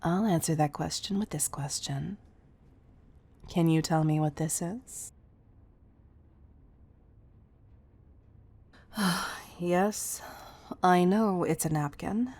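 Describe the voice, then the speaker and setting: A woman playing a commanding but fond CEO in an intimate roleplay audio, speaking softly and deliberately to the listener, often near a whisper. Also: lowish voice, full character voice. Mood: firm, chiding. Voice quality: breathy, soft. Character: commanding, tough-loving female CEO, fond underneath.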